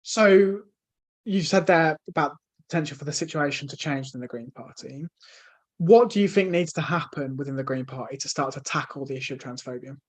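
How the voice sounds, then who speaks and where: A male host asking questions in a livestreamed interview and reading out audience questions, his voice worn and going hoarse. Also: on the high side, fairly quick. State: losing his voice.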